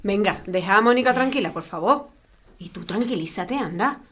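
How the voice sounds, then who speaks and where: An actress playing a caring middle-aged mother with a warm, coaxing, chatty manner. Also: medium pitch, lively intonation, moderate pace, a little hiss or hum, natural voice. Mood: cheerful. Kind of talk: comic family sitcom. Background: dinner table, clinking cutlery.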